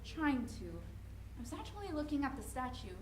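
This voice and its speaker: A woman's voice: high-pitched, sing-song, normal speed, darkish tone. A woman playing a naive, insecure seventeen-year-old girl in a reader's theater stage play. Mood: awkward, shy. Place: indoor event room at a college presentation. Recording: distant echoey room, noisy live recording.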